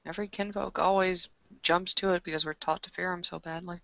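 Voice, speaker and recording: A woman's voice: fairly low pitch, fairly even intonation, brisk, vocal fry, pushed and bright, no room sound. A woman playing in a tabletop roleplaying session, talking casually and a little hesitantly in character. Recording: dull lo-fi recording.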